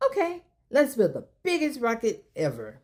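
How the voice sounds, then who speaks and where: A woman's voice: on the high side, wildly swooping pitch, moderate pace, voice pushed up. A woman voicing a shy but hopeful little preschool boy in a children's storybook, with a hesitant manner. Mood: excited. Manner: hesitant, soft, questioning.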